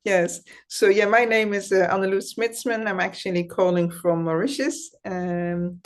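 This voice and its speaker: A middle-aged woman with a Dutch accent, speaking over a video call as an interview guest and talking in a thoughtful, reflective way with soft laughs. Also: medium pitch, steady tone, moderate pace. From Netherlands. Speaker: guest, founder and system scientist. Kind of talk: conversational interview.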